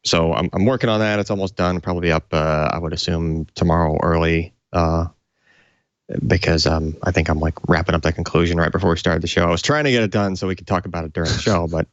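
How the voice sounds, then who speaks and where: A man's voice: deep voice, steady tone, fast, no room sound, muffled recording. A man co-hosting a tech show, talking casually in informal, hesitant phrases. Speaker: tech show co-host, hardware reviewer. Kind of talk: casual tech podcast chat.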